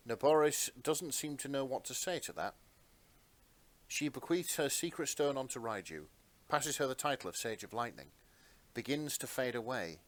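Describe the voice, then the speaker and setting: A man's voice: mid-range voice, expressive, moderate pace. A man with a mid-range voice serves as narrator. He reads an adventure story aloud in a dramatic storytelling style.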